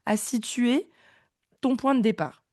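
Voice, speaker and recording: A woman's voice: fairly high pitch, takes it slowly, a little mellow, no room sound, clean studio sound. A woman in her thirties hosting a podcast, enthusiastic and chatty. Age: 30s. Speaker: podcast host. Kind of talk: casual interview chat.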